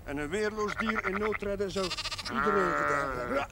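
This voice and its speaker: An actor playing an earnest, tender-hearted young village chaplain in a television drama, hesitant when moved. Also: male voice, high voice, normal speed, gravelly, very noisy. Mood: indignant. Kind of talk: 1970s Dutch television drama acting. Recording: 1970s TV soundtrack, loud music behind.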